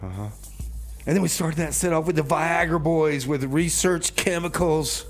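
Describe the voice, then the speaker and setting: A man hosting a community radio show, chatting casually and haltingly in a rambling, informal way with comedic banter. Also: a little high, a little hiss or hum. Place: radio studio.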